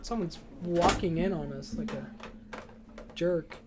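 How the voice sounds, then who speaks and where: A man's voice: on the high side, unhurried. A young man co-hosting a student podcast, discussing movies in a casual, conversational way. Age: young adult. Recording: noticeable background room noise.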